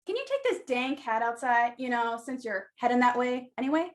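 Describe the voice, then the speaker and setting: A woman playing a flirtatious florist in a radio play, her voice on the high side. She talks casually, with wry humor and easy sympathy. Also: lively intonation, fairly quick, lightly acted. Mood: lightly flirtatious. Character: friendly, flirtatious woman florist running family shop.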